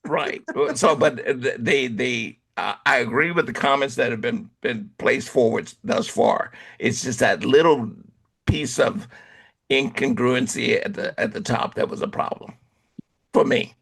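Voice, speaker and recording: Gravelly man giving joking photo critiques. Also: medium pitch, a bit bright. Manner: halting, joking, many restarts. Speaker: camera club member giving critique. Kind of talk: casual critique chat.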